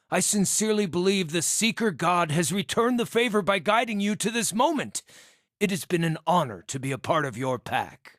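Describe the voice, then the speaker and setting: A cheeky wandering druid from a fantasy game, high-voiced for a man. His delivery swings widely in pitch, wry and theatrical, mixing florid phrases with plain colloquial talk. The sound is close and dry. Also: a bit bright, no echo, exaggerated voice. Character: witty, cheeky, bawdy male wandering druid hunter. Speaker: video game companion voice actor. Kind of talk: expressive scripted fantasy game dialogue.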